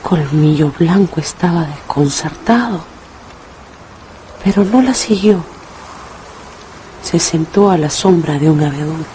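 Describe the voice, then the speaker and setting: A woman narrating a dramatized radio novel with expressive storytelling. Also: deep voice, slow pace, pauses often, slight background noise, rough recording.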